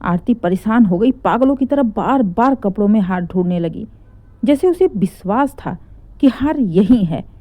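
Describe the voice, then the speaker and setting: A woman's voice: mid-range voice, no echo, muffled recording. A woman narrating a scripted, emotional family story as a dramatic storyteller.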